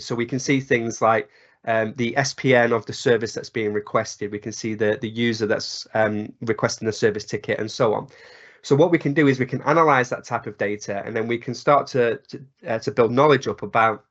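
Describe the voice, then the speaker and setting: A man with a croaky cold voice presenting a technical webinar, with frequent ums, uhs and restarts. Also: medium pitch, a bit bright. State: hoarse from a cold. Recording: slightly dull webinar audio.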